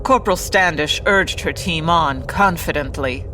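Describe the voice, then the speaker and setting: A woman's voice: deep voice, unhurried, heavy background noise. A woman playing an earnest narrator of an old-time radio adventure serial, reading scripted dramatic narration.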